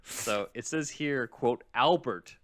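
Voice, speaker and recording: A man's voice: mid-range voice, takes it slowly, dry recording, studio quality. A man co-hosting a podcast, explaining things in an informal, conversational way as he summarizes a script. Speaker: podcast co-host.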